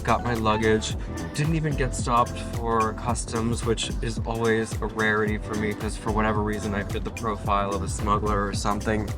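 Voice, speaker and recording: A man's voice: on the low side, level tone, moderate pace, over music. A man narrating a casual travel vlog, chatty and upbeat, talking to viewers as he moves from an airport to a car. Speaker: travel vlogger. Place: airport terminal, then car on the road.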